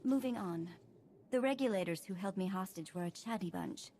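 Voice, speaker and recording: An actress playing a blunt, impatient planetary official who talks in a brusque, dry way. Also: female voice, medium pitch, lively intonation, moderate pace, darkish tone, lightly acted.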